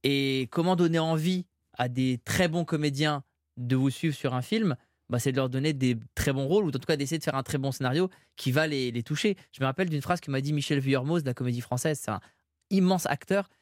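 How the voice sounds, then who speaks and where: A man's voice: medium pitch, fairly quick, dry recording, clean studio sound. A man, a comedian and filmmaker, telling anecdotes in a casual interview. He talks animatedly, with frequent restarts and acted-out quotes. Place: studio with glass screens.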